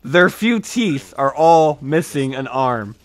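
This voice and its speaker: A young man reading comedy scripts aloud casually and deadpan, sometimes close to giggling. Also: mid-range voice, slow pace. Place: small dim room, indoors. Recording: home microphone with background noise, clicks.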